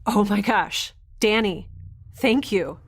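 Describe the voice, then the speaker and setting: A woman's voice: medium pitch, slow pace, breathy edge. Casting: woman to act young female fugitive, sci-fi radio drama. Defiant, talkative, dry sarcasm, natural mid-range voice. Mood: relieved, brisk. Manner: chatty, sarcastic, rambling asides. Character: defiant, wry young female rebel specimen on the run. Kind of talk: science fiction audio drama acting.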